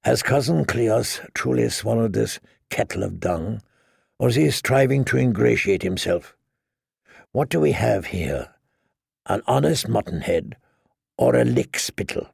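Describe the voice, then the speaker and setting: A man voicing a cocky, cruel highborn knight with a mocking, sneering drawl for an audiobook. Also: lively intonation, a little slow, gravelly, slightly rough, dry recording, studio quality, heavily acted.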